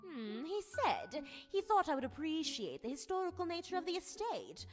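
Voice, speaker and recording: A woman's voice: extremely high pitch, big pitch swings, moderate pace. A woman playing a genteel, gossipy society widow in a visual novel, with prim, mannered, chatty phrasing. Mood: wistful, chatty. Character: genteel, gossipy society widow, married more than once. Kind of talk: voiced visual novel dialogue. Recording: music behind the voice. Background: game music.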